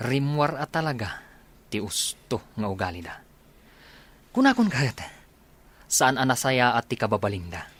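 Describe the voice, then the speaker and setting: A man's voice: medium pitch, big pitch swings, normal speed, long pauses, a bit bright. A man playing a loyal young soldier buddy in an Ilocano radio drama, casual and brotherly. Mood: concerned.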